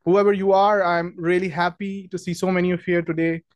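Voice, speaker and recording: A man's voice: high voice, level tone, fairly quick, dry recording, dull audio. A young man from Pakistan hosting a webinar in a friendly, chatty way, with lots of ums and restarts. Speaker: webinar host, product marketing manager. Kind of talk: casual webinar presentation.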